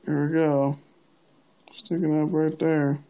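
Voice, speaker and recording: A man's voice: a little high, slow. A young man casually narrating a hands-on DIY job, offhandedly talking to himself as he works. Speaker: DIY hobbyist demonstrating a build. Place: indoor workbench. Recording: steady background noise, amateur camera audio.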